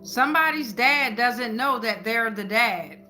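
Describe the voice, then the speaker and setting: A woman's voice: fairly high pitch, lively intonation, unhurried, sharp tone, faint music behind. A woman hosting a live tarot reading, talking informally to viewers with repeated phrases and frequent check-ins. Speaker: tarot reader and live stream host.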